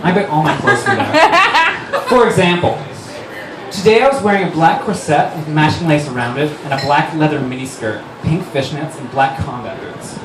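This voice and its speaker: A man's voice: a little high, expressive, moderate pace, natural voice. Vain, gloomy teen goth girl narrator, acted by a man, melodramatic fan fiction reading, echoey distant live sound. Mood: proud, sullen. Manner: self-absorbed, melodramatic, listing details. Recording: noisy, echoey live room recorded from far off.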